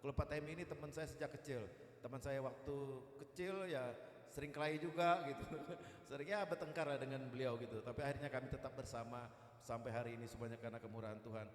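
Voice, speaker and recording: A man's voice: medium pitch, quick pace. A man, a guest evangelist speaking to a church in a chatty, jocular sermon style. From East Kalimantan, Indonesia. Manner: chatty and jocular, with repeated "gitu" tags. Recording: echoey hall, long reverb.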